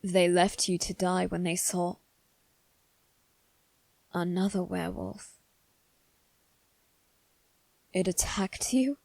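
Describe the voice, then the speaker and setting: Woman doing a guarded posh-mannered noble boy for asmr roleplay, really slow, very dry close sound. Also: fairly low pitch, flat delivery, gravelly, darkish tone, no room sound, heavily acted. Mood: probing, skeptical. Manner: measured, deliberate, formal phrasing. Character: guarded, haughty young aristocratic boy seeking revenge. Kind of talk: ASMR roleplay, one-sided scripted dialogue.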